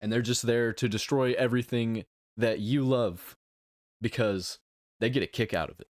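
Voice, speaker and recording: A man giving casual reaction commentary in a blunt, emphatic way, speaking in short, halting phrases. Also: mid-range voice, fairly even intonation, no room sound, clean studio sound. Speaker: reaction video host.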